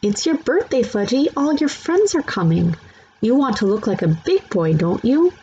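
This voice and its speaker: A woman voices a patient mother in a children's story. She coaxes and reasons in a sing-song manner, pitched above the narration. The recording is a little dull. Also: very animated, normal speed, warm tone, a little hiss or hum. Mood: coaxing.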